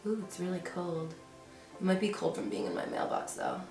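A woman's voice: on the low side, sing-song, moderate pace, vocal fry. Young woman beauty vlogger, stuffy with a cold, chatty, roomy room with music behind. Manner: chatty, lots of "like", giggly. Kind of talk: casual chatty vlog. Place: bedroom with decorated shelves.

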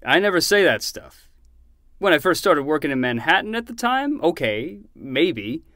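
A man's voice: fairly high pitch, sing-song, moderate pace, pushed and bright, dry recording, clean studio sound. A man playing an easygoing, protective uncle in a fiction podcast, conversational and matter-of-fact. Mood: flustered, defensive. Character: easygoing, protective adult uncle, mildly flustered.

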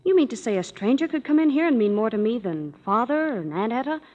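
A woman's voice: on the high side, animated, fast, a little mellow, muffled recording. An actress playing a teasing, chatty young woman in a 1930s radio drama. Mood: playful. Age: young adult. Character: playful, chatty youngest-type sister. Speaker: sister Anne.

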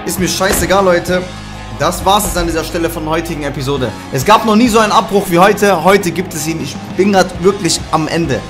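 Ranting young man over loud game music. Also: high voice, a little fast. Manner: ranting, cursing, exasperated, addresses viewers. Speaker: gaming YouTuber. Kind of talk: angry let's-play commentary. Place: small room at home. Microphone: studio mic on arm with headphones.

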